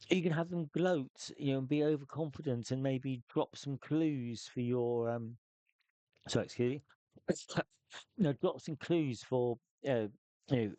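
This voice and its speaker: A man's voice: medium pitch, a little mellow, no echo. Man musing through loose solo-game advice. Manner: loose, thinking aloud with many restarts. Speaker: solo tabletop game player giving tips. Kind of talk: casual spoken advice.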